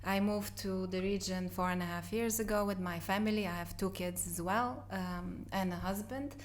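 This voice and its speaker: Flat-voiced businesslike woman. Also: mid-range voice, normal speed, slight background noise. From Bulgarian and Polish parents. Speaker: guest, company co-founder. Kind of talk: casual interview talk.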